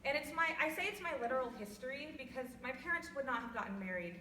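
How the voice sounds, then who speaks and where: A young woman from California with a loud voice, giving a personal talk to an audience in a church hall without a microphone. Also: high-pitched, expressive, fast, a little hiss or hum. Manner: loud, laughing, self-deprecating. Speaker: guest speaker. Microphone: no microphone, far from recorder. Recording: echoey hall from far off.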